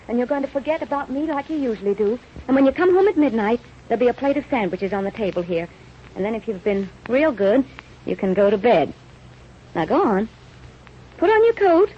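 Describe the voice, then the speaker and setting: A muffled, slightly noisy old radio recording carries a young wife played by an actress with a highish voice. She is bossy and brisk, scolding with teasing affection in period radio drama style. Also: expressive, natural voice. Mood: mock scolding. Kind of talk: 1930s-40s radio drama acting.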